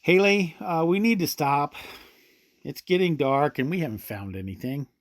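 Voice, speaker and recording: Wary forest ranger, swooping pitch. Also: male voice, lively intonation, normal speed. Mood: uneasy. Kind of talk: radio drama acting.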